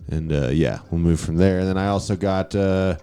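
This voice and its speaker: A man's voice: deep voice, normal speed, warm tone, clean tone, faint music behind. A man co-hosting a comedy podcast, easygoing, plugging shows. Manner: short agreeing replies, easygoing. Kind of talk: casual podcast chat.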